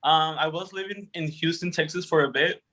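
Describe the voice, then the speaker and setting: A man's voice: on the high side, normal speed, a bit bright. A man in his twenties, a native Spanish speaker, giving long answers full of fillers and self-corrections on a video call. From Arizona, United States. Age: 20s. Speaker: guest speaker. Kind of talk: casual conversational answers.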